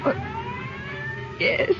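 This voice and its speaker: An actress playing a grief-stricken, polite widow, speaking in a halting, subdued way in a 1950s radio drama. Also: female voice. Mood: grieving. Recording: muffled, noisy vintage radio recording.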